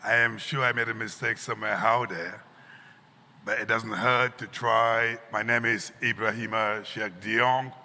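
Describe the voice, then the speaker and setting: A man from Senegal hosts a conference session in a formal, courteous way. His very bright voice rings out over a stage microphone, and the big hall adds a long reverb. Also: on the low side, fairly even intonation, unhurried. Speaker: session moderator.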